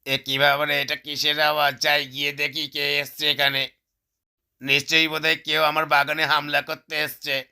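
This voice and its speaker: Guy doing a bossy angry cartoon fox, sharp bright voice, drags words out, threatening. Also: fairly high pitch, completely flat delivery, vocal fry, clear, resonant tone, exaggerated voice. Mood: suspicious. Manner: threatening, scolding, drawn-out words. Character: angry, possessive plump male fox guarding his orchard. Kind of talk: cartoon character voice.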